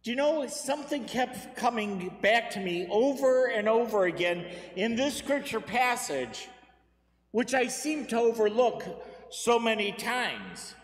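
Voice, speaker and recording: A fairly high-voiced priest in his thirties preaches slowly and earnestly from a lectern. His words are spaced out with deliberate pauses. The sound rings around a roomy church with a long reverb tail. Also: expressive. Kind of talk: Sunday homily. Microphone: lectern mic, far camera.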